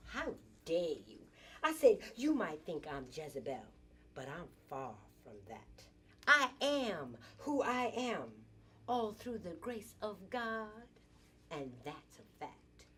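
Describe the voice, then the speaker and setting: A woman's voice: on the low side, very animated, slow, a bit bright, breathy edge, heavily acted. A woman performing an improvised dramatic monologue as an indignant, sassy businesswoman confiding in a girlfriend, with theatrical emphasis. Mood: defiant, sassy.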